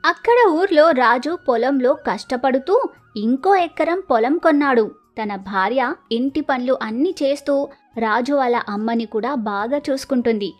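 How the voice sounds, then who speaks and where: Very high-pitched woman storyteller. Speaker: narrator. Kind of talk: scripted moral-story narration.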